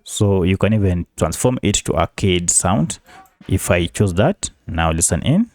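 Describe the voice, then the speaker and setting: A man's voice: sits low, lively intonation, measured pace, a little mellow, no echo. A man hosting an app tutorial, giving polite step-by-step instructions. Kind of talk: screen-recording tutorial narration.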